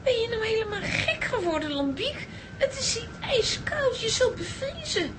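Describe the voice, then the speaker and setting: A woman playing an excitable, bossy, easily alarmed cartoon character in a children's audio drama. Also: very high-pitched, moderate pace, background noise. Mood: whining, panicked.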